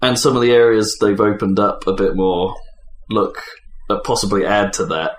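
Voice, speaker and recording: A man with a lowish voice chats casually as a gaming podcast co-host. His delivery is conversational and a bit tentative, recorded in a very echoey room. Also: steady tone, normal speed. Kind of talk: casual chat about video games.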